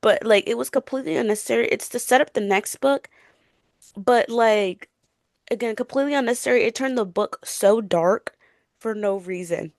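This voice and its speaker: A woman's voice: on the high side, moderate pace, darkish tone, dry recording, studio quality. A young woman co-hosting a casual book chat in an informal, emphatic, opinionated way. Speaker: book podcast co-host.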